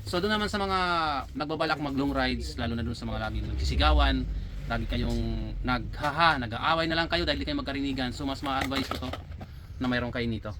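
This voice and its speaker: A man who owns a shop, giving a confident, persuasive sales pitch inside his store. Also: a little fast, noisy background. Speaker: shop owner. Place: inside a motorcycle gear shop.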